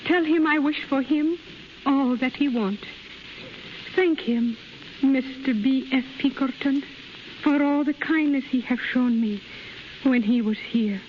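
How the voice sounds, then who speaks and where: An actress playing a gentle, heartbroken young Japanese wife in a 1930s radio drama, speaking slow, halting, simple English with resigned dignity. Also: female voice, high-pitched, a little hiss or hum. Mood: resigned sorrow. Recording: muffled 1930s radio recording, music behind.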